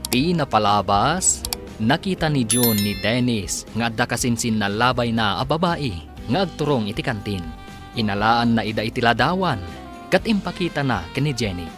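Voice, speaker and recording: A man's voice: medium pitch, lively intonation, normal speed. A man announcing and narrating a radio serial in a dramatic scripted style. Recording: music under the voice.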